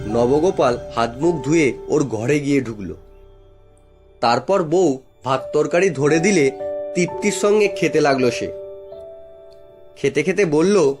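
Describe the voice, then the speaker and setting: A male storyteller with a fairly high voice reads scripted horror narration for a cartoon. Prominent music plays behind him. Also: expressive, unhurried, pauses often.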